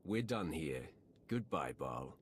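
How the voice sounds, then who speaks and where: An actor playing a cold, confident Sith warrior in a video game, terse and menacing with a gravelly voice. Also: male voice, extremely low pitch, animated, slow pace, a little hiss or hum, heavily acted. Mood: cool menace.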